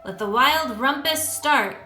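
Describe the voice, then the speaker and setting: Woman acting wild little storybook boy, high, loud, commanding, bossy, echoey room. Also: animated, a little slow, full character voice. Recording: roomy echo with music behind.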